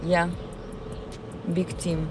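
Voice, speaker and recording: A woman with a Ukrainian accent talks slowly and unhurriedly into her phone, stopping often to search for words as she chats with her viewers. She is streaming outdoors in a city park. Clear outdoor noise runs behind her voice. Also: fairly low pitch, monotone. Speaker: live-streaming vlogger. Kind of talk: casual live stream chat with viewers.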